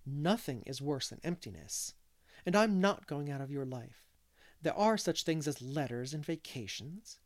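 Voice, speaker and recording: A reader voicing an earnest, thoughtful young schoolteacher in an audiobook, speaking in a calm, reassuring way. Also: mid-range voice, measured pace, natural voice. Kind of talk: audiobook character reading.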